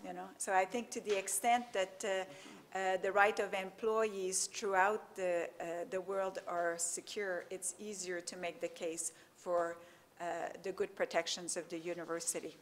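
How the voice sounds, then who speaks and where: A woman moderating a panel discussion from a podium in a lecture hall, speaking haltingly with many fillers. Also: medium pitch, flat delivery, a little hiss or hum.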